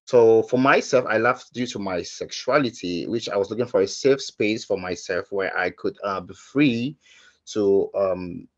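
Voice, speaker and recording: Earnest man from Cameroon, muffled call audio. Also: on the low side. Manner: earnest, halting, many fillers and restarts. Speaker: panelist. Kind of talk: personal testimony on a webinar panel. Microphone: video call.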